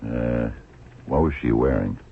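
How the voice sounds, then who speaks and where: An actor playing a shrewd, dogged blind investigator in a radio drama, gravelly and husky, probing with hesitant, murmured questions. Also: male voice, extremely low pitch, a little slow, full character voice. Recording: thin, phone-like old radio recording.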